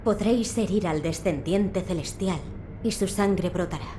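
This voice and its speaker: A woman voicing a composed, learned physician in a video game, speaking calmly and formally. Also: lowish voice, slight background noise, natural voice. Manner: calm, formal, measured. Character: composed, learned female physician of a noble household. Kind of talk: dubbed video game cutscene acting.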